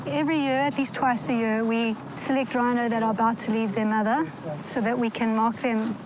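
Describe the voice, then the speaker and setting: A woman's voice: on the high side, little pitch movement, brisk, noisy background. A woman ecologist speaking in an earnest, articulate documentary interview. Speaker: wildlife ecologist. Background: music.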